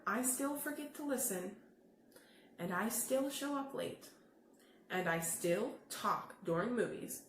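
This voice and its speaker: Woman reading as a dramatic misunderstood bad seed, echoey. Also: a little high. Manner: theatrical, expressive storybook delivery. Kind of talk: children's picture book read-aloud. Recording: echoey room with faint noise.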